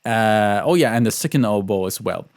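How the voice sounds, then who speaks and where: Clear-voiced man giving an informal tutorial. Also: on the low side, lively intonation, normal speed, dry recording, clean studio sound. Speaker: composer teaching orchestration.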